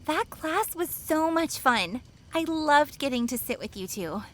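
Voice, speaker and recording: Woman playing a high-voiced bubbly college girl. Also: animated, fairly bright tone. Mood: cheerful. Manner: playful, teasing, drawn-out delivery. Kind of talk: audio drama acting.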